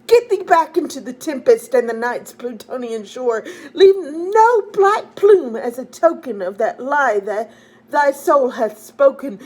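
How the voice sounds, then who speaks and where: A woman's voice: high voice, big pitch swings, unhurried, a little mellow, heavily acted. A woman voicing a haunted, grieving man from a Gothic poem, with an impassioned, declamatory delivery. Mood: furious anguish.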